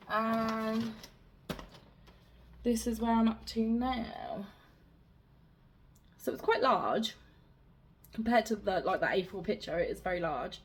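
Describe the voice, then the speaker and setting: Chatty slightly raspy woman. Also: medium pitch. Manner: chatty, rambling, self-deprecating. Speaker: cross-stitch vlogger. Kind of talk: casual show-and-tell chat.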